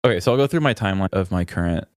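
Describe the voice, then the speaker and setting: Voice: deep voice, animated, very fast, no echo, clean studio sound. A podcast co-host telling personal stories in a casual, informal conversational way. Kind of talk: casual chat, personal storytelling.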